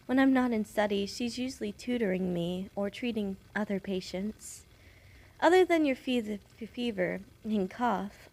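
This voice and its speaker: A woman playing a kindly, chatty young witch who works as a nurse assistant in an audio roleplay, gentle, polite and a little flustered. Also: on the high side, vocal fry, heavily acted. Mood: attentive, apologetic. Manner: gentle, polite, apologetic, slightly stammering. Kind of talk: audio roleplay addressed to the listener.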